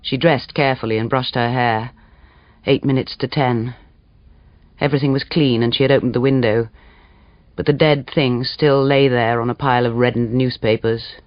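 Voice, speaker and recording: A man reading a literary story aloud as dramatic audiobook narration, measured and expressive. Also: mid-range voice, dry recording, highs cut off. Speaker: audiobook reader.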